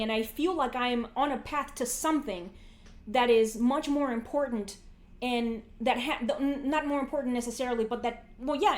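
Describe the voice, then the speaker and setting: High-voiced woman in an echoey room. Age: 40s. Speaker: podcast host. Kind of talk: casual philosophical conversation. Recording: roomy, echoey sound.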